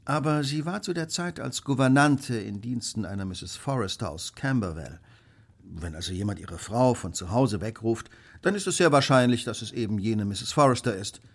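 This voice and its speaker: A man's voice: medium pitch, expressive, normal speed. An actor playing a brilliant, smug Victorian detective in a German radio play, precise and lecturing in his delivery. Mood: analytical, assured. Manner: precise, self-assured, lecturing.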